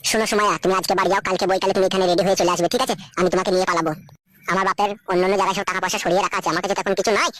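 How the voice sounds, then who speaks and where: A woman's voice: medium pitch, steady tone, racing, close to an everyday voice. A woman playing a surly, scheming young village man who grumbles crudely in an amateur cartoon comedy. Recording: loud background noise.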